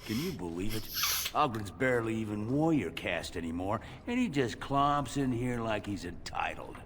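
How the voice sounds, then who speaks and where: Haughty, lively-pitched dwarf townsman. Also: normal speed, a little hiss or hum. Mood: disdainful gossip. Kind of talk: fantasy game dialogue.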